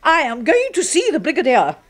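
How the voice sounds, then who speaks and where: A prim, scandalised English secretary, swooping indignantly. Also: female voice, sits high, wildly swooping pitch, brisk, slight background noise. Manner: stiff, precise, formal. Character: prim, disapproving, priggish older secretary, easily scandalised. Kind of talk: 1970s radio drama acting.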